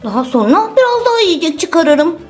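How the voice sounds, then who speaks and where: Lady doing big friendly bear guy, drops lower than her squeaky voices, gentle, patient, kids cartoon, music behind. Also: sing-song, normal speed. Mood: pleased, gentle. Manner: reassuring, gentle. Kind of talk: children's toy-play story.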